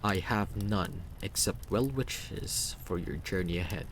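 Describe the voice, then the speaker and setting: A man voicing a reserved, muscular man near fifty who speaks in a dry, measured, slightly formal way for a story game. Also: sits low, a little hiss or hum. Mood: reserved, courteous.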